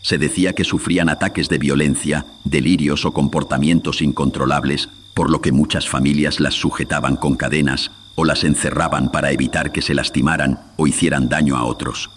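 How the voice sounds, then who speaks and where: Very deep-voiced male horror narrator. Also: steady tone, brisk, slight background noise. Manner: solemn, literary. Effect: voice processed with studio filters. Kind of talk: scripted horror story narration.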